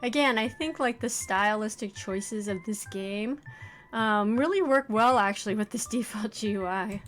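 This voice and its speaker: A woman in her forties gives casual first-impressions commentary on a game. Her voice sits at a middle pitch for a woman. She thinks aloud in a reflective, tentative way, with music playing softly behind her. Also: moderate pace. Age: 40s. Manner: thoughtful, hedging, thinking aloud. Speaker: let's play host, indie visual novel developer.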